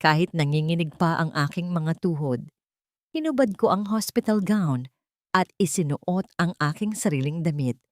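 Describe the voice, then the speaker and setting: A woman's voice: on the low side, lively intonation, moderate pace, no room sound, clean studio sound. A woman reading a scripted, dramatic first-person story as an audio drama narrator.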